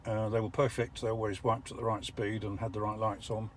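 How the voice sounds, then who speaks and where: An older English man explaining how an electric car works from the driver's seat, measured and a little wry. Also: fairly low pitch, level tone, slight background noise. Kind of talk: casual vlog commentary. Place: parked car.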